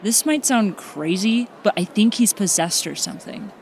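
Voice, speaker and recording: A woman playing a protective, frank teenage high-school girl in a comic fan dub. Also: animated, normal speed, a little mellow, slight background noise, close to an everyday voice. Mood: ominous, earnest.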